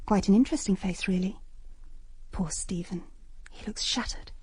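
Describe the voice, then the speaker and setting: A woman's voice: mid-range voice, moderate pace, darkish tone, slightly rough, whispered. An actress playing an appraising, sympathetic young woman thinking privately in a radio drama. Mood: curious, hushed. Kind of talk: hushed radio drama interior monologue.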